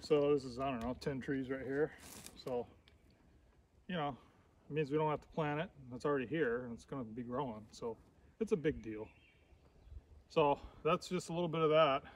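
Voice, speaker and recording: A man hosting a casual walking tour of his tree farm outdoors, talking in a relaxed, rambling way with many fillers. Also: medium pitch, normal speed, pauses often. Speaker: tree farm owner and vlog host. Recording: distant outdoor camera audio with noise.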